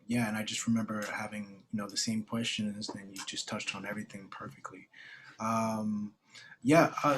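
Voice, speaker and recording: A man's voice: on the low side, slight background noise. Hesitant young man on a video call. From New York. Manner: hesitant, many fillers and restarts. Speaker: former grantee. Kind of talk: casual online info session talk.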